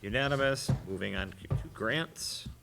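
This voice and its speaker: A man chairing a school committee meeting in brisk procedural talk. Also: medium pitch, vocal fry. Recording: noisy public meeting room recording.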